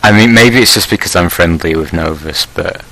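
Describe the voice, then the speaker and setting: A man's voice: sits low, moderate pace, fairly bright tone, poor audio. A man chatting casually on a podcast about online gaming groups, rambling with many fillers and restarts. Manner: laughs at his own blunt jokes. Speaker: leader of a Prussian group in an online game.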